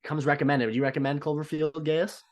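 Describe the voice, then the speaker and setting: A man's voice: on the high side, flat delivery, quick pace. A man co-hosting a movie podcast in casual chat, mostly chiming in with brief agreeing remarks. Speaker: podcast co-host.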